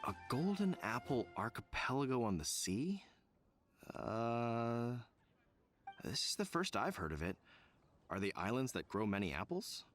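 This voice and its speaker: A man's voice: medium pitch, sing-song, a little slow, soft background music. A man voicing a polite, earnest city guard in a video game, speaking in a formal, apologetic manner. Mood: puzzled, apologetic. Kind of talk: animated video game dialogue acting.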